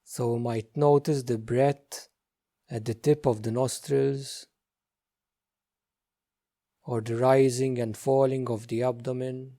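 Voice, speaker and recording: A man leading a guided meditation as a teacher, speaking gently in short, unhurried phrases with many pauses. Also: medium pitch, little pitch movement.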